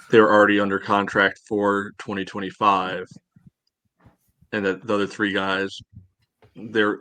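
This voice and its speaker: A man co-hosting a sports podcast, discussing and analysing in a casual, measured way. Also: on the low side, bright, forceful tone, no room sound. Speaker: podcast co-host.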